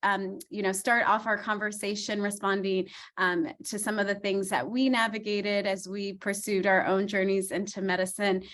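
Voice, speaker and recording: A woman's voice: medium pitch, little pitch movement, normal speed, few pauses. Encouraging woman doctor hosting a panel. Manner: warm encouraging, frequent ums. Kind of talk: casual online panel discussion.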